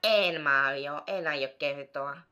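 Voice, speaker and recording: A man's voice: fairly high pitch, bright, forceful tone, a little hiss or hum. A man playing a naive, gullible and timid little brother in a cartoon fan dub, childlike and hesitant. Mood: timid, unsure.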